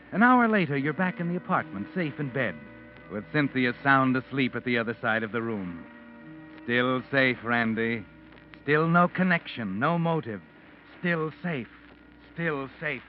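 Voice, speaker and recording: A man acting a taunting narrator of a vintage radio mystery. His mid-pitched delivery is insinuating and dramatic. Music plays behind the muffled recording. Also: close to an everyday voice. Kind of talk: scripted second-person radio mystery narration.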